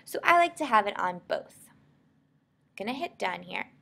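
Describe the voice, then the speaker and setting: An American woman narrating a software tutorial in an informal, explanatory way. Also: mid-range voice, very animated, normal speed, creaky. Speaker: software instructor.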